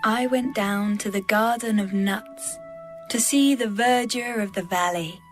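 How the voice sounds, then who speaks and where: A woman playing a young biblical maiden in a lyrical, measured dramatised scripture reading. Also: medium pitch, close to an everyday voice. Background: background music.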